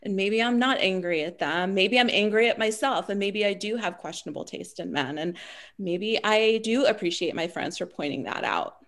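A woman performs improv comedy on a video call from home. Her voice sits at a medium pitch, and she talks in a halting, reflective way. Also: animated, a bit bright. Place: small room at home.

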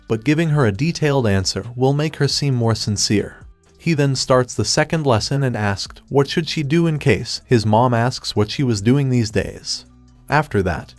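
A man giving a scripted third-person recap of a comic story in a steady retelling manner. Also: mid-range voice. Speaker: recap narrator. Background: faint background music.

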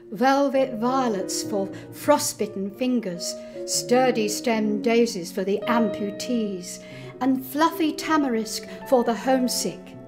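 A middle-aged woman performing a dramatic poetry recital with deliberate, theatrical phrasing. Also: a little high. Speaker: poet performing her own poems.

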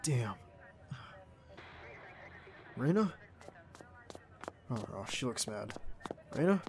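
A man's voice: mid-range voice, big pitch swings, drawn-out words, exaggerated voice. A man playing a young male field agent, a doting, stubborn, playful boyfriend, in an ASMR roleplay audio drama. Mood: flustered, pained. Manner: affectionate, teasing, protesting.

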